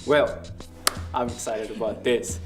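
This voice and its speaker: A man's voice: mid-range voice, steady tone, unhurried, over music. A young man with a Mexican accent hosting a casual film review, earnest and analytical about the film he loves. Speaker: film review show host.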